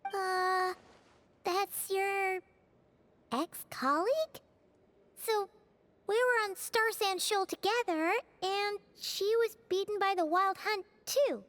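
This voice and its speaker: A woman voicing a tiny, excitable, childlike fairy companion in a video game, chatty and blunt with a squeaky voice. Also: slow pace, full character voice. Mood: excited, alarmed. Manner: chatty, exclamatory, questioning. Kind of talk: English video game dialogue acting. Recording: music playing behind the voice. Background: background music.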